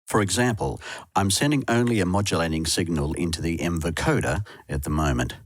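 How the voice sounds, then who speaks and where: A man's voice: low-pitched, a little fast, no room sound. A man presenting a tutorial in scripted instructional narration, recorded as a close, dry voice-over. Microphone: close dry voice-over microphone.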